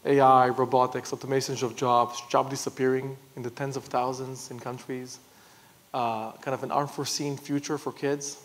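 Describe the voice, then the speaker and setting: A man in his thirties moderating an on-stage conference interview, piecing his questions together haltingly. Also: medium pitch, little pitch movement. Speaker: moderator, technology executive. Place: large conference stage, seated in armchair. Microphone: clip-on lapel mic.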